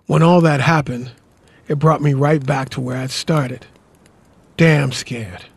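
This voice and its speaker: An actor playing a gruff, self-doubting older survivor, wounded and weary, in an audio drama, with a husky, gravelly voice and a halting, strained delivery. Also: male voice, mid-range voice, fairly even intonation, heavily acted. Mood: reflective, grim. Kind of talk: post-apocalyptic audio drama acting.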